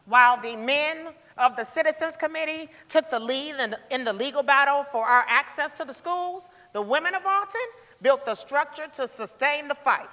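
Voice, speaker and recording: A woman's voice: high-pitched, normal speed, bright, forceful tone. A woman playing a proud narrator in a staged historical drama reading. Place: large theater stage, indoors. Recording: hall reverb from a theater stage.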